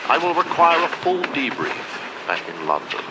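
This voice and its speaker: A man's voice: fairly high pitch, big pitch swings, moderate pace, heavy background noise. A man playing a stern, cold father and boss in a radio drama, formal and commanding.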